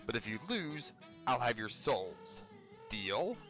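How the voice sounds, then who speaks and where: A man's voice: medium pitch, very animated, takes it slowly, a bit bright, faint music behind. A man voicing a gleefully menacing devil who owns a casino, theatrical and taunting, as in a storybook read-aloud. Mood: sly, menacing.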